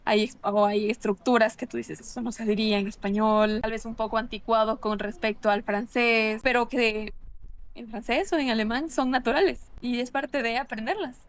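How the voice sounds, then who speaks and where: A young woman from Ecuador talks as an interview guest, thoughtful and earnest, often pausing to restart a phrase. Her voice sits somewhat high. She sounds like a linguist sharing her own experience. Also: fairly even intonation. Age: 20s. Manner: reflective, earnest, many restarts and fillers. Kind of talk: casual interview conversation.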